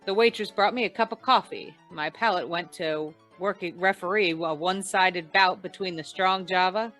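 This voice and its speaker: A woman playing a deadpan, hard-boiled female investigator in an old-time radio crime noir, with dry, world-weary delivery. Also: on the low side, normal speed, soft background music, lightly acted. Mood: wry, deadpan. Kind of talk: 1940s radio noir narration and dialogue.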